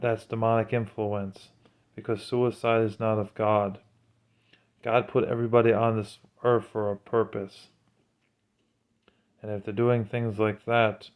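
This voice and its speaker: Low-voiced man, clear and preachy. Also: narrow, tinny audio. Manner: earnest, preachy. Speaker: religious commentator. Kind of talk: informal religious talk to camera.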